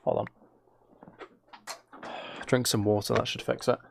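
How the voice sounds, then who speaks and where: A man livestreaming a game with a flat, mellow voice, rambling casually and softly to his viewers in a low-key way. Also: unhurried, creaky, a little hiss or hum. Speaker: live streamer. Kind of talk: casual gaming livestream chat, soft ASMR-like. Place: desk at home, live stream.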